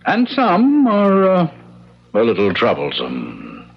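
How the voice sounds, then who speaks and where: On a dull, lo-fi vintage radio drama, a suave devil posing as a kindly old fellow. His pitch swoops theatrically up and down. He is gloating and elaborately courteous, in a mocking way. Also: huge pitch swings, takes it slowly, slightly rough, full character voice. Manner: urbane, mock-courteous, theatrical. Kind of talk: 1970s radio drama acting.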